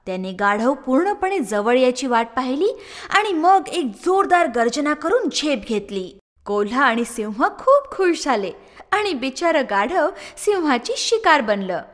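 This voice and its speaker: A woman narrating a scripted story for children in an expressive storytelling style. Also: sits high, measured pace, big-room sound.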